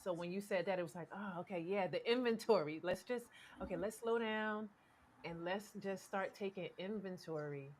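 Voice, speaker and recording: Reflective woman co-host, mid pitch. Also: normal speed, a little hiss or hum. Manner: rambling, reflective, many fillers and restarts. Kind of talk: casual conversational reflection.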